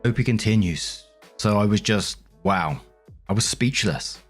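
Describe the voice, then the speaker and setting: A man's voice: lowish voice, a little slow, faint music behind. A man narrating online stories aloud as a host, with informal, conversational commentary and casual swearing.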